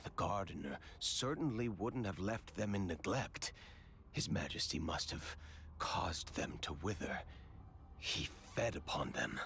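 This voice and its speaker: An actor playing a composed, aloof young prince in a video game, speaking in a measured, formal and commanding way. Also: male voice, deep voice, expressive, gravelly, a bit bright, exaggerated voice. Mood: pensive, composed.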